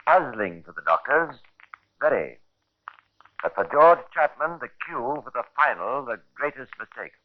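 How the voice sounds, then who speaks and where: An actor playing a sardonic, macabre storyteller, narrating a 1950s radio crime drama with ironic relish and deliberate phrasing. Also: male voice, mid-range voice, lively intonation. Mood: sardonic, ominous. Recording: dull vintage radio recording.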